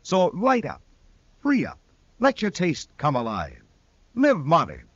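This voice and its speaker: A man's voice: on the high side, huge pitch swings, unhurried, slightly rough, dry recording. A man reading a vintage radio commercial in an emphatic, salesmanlike way. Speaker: commercial announcer. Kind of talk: vintage radio advertisement read. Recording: dull vintage radio recording.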